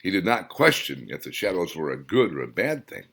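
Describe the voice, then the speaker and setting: A man reads his own novel aloud as an audiobook narrator. His voice sits low and the intonation swings widely. The reading is expressive and dramatic. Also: brisk, creaky. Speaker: author and narrator.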